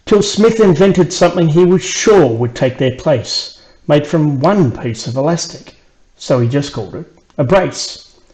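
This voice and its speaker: A man with an Australian accent narrating a rhymed children's story in an expressive storyteller's way. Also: fairly high pitch, unhurried, rough recording. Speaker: author reading own children's book.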